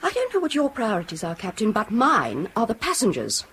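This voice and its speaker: A strong-willed stewardess in a radio drama. Her voice is fairly high and moves up and down in lively fashion. She sounds insistent and questioning, over faint background noise. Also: brisk. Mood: defiant.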